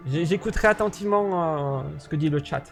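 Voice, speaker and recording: A man's voice: on the high side, a little fast, soft background music. A man running a tabletop game as game master, talking in a hesitant way with many restarts; French is not his first language.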